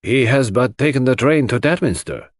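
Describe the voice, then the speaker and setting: A man's voice: medium pitch, animated, normal speed, no room sound, studio quality, natural voice. A man playing a polite foreign servant with a deferential, formal manner in an audiobook. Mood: polite, informative.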